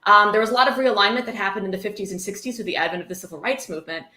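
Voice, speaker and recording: A woman's voice: medium pitch, very fast, bright, forceful tone. A woman hosting a mocking livestream book reading, sarcastic, irreverent and profane, breaking off into casual commentary. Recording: echoey room.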